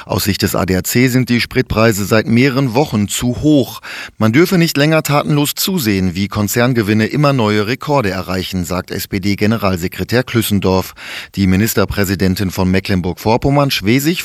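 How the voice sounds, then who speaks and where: A man delivering a scripted radio news report in a steady, matter-of-fact way. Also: on the low side, moderate pace, dry recording, studio quality.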